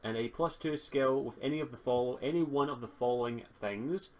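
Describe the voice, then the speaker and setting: A man acting as game master, casually explaining tabletop game rules and reading items haltingly from a rulebook. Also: medium pitch.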